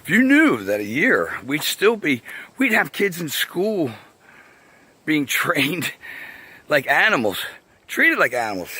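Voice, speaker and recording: A man with a raspy voice talks casually to camera outdoors, rambling, swearing, and laughing often as he goes. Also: a little high, very animated, slow pace, long pauses, bright, forceful tone, dry recording. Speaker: vlogger. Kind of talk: casual outdoor video monologue. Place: outdoors by a path.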